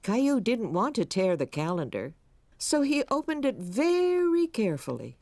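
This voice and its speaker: A woman playing the gentle storybook narrator of a children's cartoon, telling it expressively. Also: on the high side, wildly swooping pitch, normal speed, no echo. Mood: gentle storytelling. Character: warm storybook narrator of a small boy's adventures. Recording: music behind the voice.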